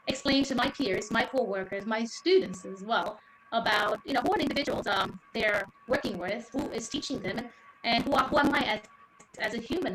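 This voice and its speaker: A woman from Sri Lanka, a teacher, speaking earnestly on a panel with many pauses and fillers. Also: on the high side. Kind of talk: panel discussion remarks. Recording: echoey room, faint noise.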